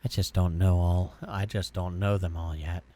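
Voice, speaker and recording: A man's voice: sits low, level tone, normal speed, dark tone. A man reading visual novel text aloud as a let's play, sometimes stumbling and rereading a line. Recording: music behind the voice. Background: game music with guitar.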